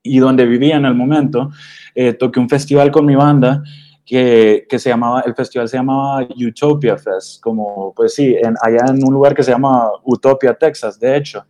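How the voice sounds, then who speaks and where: Mellow-voiced man telling his story, hesitant. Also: medium pitch, fairly even intonation, normal speed. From Honduras. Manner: hesitant, many fillers and restarts. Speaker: guest DJ and producer. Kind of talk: relaxed storytelling interview answers. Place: radio studio.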